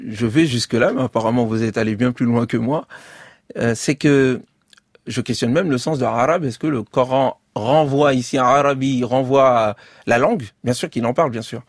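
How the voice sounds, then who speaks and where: A man speaking as an author and guest in an interview, thinking aloud in measured talk with many fillers and restarts, recorded close to the mic. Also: mid-range voice, no room sound. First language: French.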